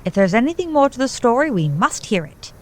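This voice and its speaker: An actress playing a genteel, romantic young bride-to-be in a radio play, with gushing, proper period diction. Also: female voice, on the high side, sing-song, a little fast, a little hiss or hum. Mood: eager, curious.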